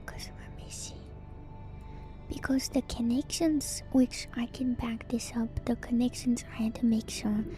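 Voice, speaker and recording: Whispering, slow-talking woman streamer. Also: a little high, steady tone. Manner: hushed, unhurried, musing. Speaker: VTuber streamer. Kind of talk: whispered ASMR lore reading and commentary to viewers. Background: music.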